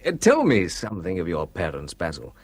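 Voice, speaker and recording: A man's voice: low-pitched, huge pitch swings, a little fast, breathy edge, full character voice. An actor playing a dry, probing young man in a radio drama. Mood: wry. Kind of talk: 1970s radio drama acting. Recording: old radio broadcast with faint hiss.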